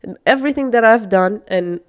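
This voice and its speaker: Smooth-voiced young woman co-hosting a podcast. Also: mid-range voice, brisk. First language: Arabic. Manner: chatty, enthusiastic, many fillers and restarts. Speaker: podcast co-host. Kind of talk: casual chat.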